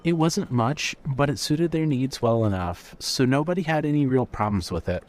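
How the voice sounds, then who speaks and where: A man's voice: mid-range voice, animated, moderate pace, darkish tone. A man giving scripted narration of a fiction story.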